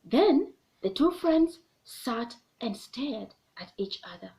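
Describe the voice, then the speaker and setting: A woman storyteller with a high, very lively voice reads a picture book aloud to a child. She takes her time over the phrases, swinging her pitch expressively and leaving gaps for the listener to join in. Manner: animated, pausing for a child to join in.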